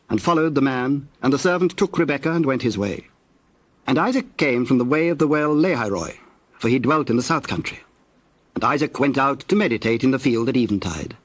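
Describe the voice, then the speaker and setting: Male narrator, mid-pitched, formal measured scripture reading, very dry close sound. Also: no room sound.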